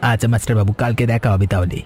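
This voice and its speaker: A man voicing a fast, mellow-voiced humble tea seller. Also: on the low side, fairly even intonation, some chatter behind, natural voice. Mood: friendly. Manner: anxious, stammering. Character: humble male tea seller, anxious husband. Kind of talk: animated cartoon voice acting.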